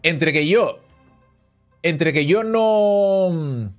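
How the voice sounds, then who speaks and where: A man in his thirties with a somewhat higher voice talks loosely and chattily into a headset mic, answering his chat as he plays. He is in a small home room, with faint game music under his voice. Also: expressive, very slow. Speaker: streamer. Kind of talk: casual live-stream chat while playing.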